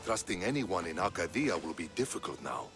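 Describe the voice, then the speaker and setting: Calm, lively-toned Spartan commander. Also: male voice, low voice, fairly quick, soft background music. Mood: measured. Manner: calm, persuasive. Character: measured, principled male Spartan commander. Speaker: Spartan general.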